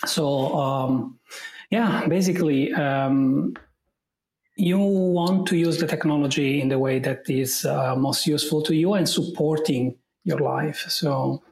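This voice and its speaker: A man's voice: a little high, slow, vocal fry, a little mellow, reverberant, low-quality recording. A man with an Italian accent, an AI specialist answering interview questions thoughtfully, with many fillers and restarts. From Italy. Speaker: AI specialist guest.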